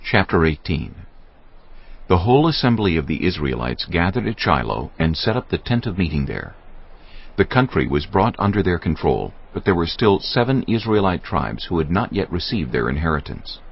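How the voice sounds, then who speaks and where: A man narrating scripture from a script as a measured, solemn reading. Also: deep voice, big pitch swings, gravelly, slightly rough. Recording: muffled audio with music behind.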